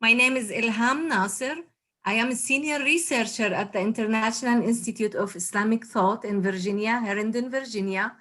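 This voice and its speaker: Higher-voiced woman researcher. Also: a bit bright. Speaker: senior researcher. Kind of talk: conference presentation.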